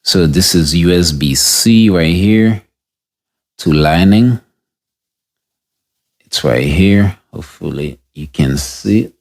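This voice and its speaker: Man, deep voice, very low pitch, slow unhurried delivery, casual gadget review, halting with restarts. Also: lively intonation. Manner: unscripted, halting, restarts mid-sentence while testing gear. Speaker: product reviewer.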